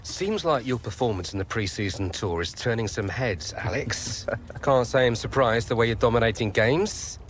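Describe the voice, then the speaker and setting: A man's voice: mid-range voice, animated, moderate pace, a little hiss or hum, natural voice. A British man playing a slick, chummy football agent with slangy, persuasive talk in a video game cutscene. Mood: gleeful. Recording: music behind the voice.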